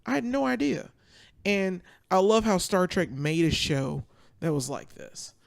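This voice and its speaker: A man chatting casually on a podcast, telling stories in an excitable, emphatic way. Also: high voice, measured pace, gravelly. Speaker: podcast panelist. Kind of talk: casual chat. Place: podcast studio.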